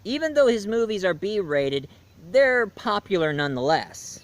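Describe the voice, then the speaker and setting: Guy rambling thru a movie review, kinda high voice, lots of ums and restarts, gets loud then mumbles. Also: sing-song, a little slow. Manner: rambling with many fillers and restarts; swings between loud bursts and quiet asides. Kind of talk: casual spoken movie review.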